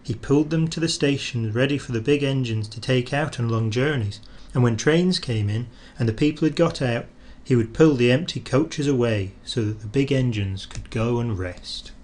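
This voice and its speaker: A man reading a children's train story aloud in an expressive, animated storyteller's manner. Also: medium pitch, normal speed.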